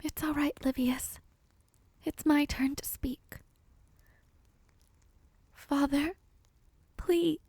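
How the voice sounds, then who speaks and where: A woman playing a gravely ill young princess in an audio drama, gentle but resolute, with soft, breathy, formal and pleading speech. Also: high-pitched, no room sound. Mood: earnest pleading. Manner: earnest, formal storybook diction. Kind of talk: audio roleplay drama acting.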